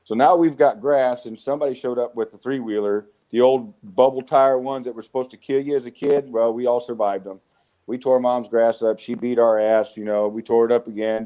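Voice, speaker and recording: A man in his forties with a Southwest American accent, telling rough, profane stories of his youth as an interview guest. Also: on the low side, warm tone. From United States. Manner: rough-spoken, swearing, frequent restarts. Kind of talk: casual storytelling interview.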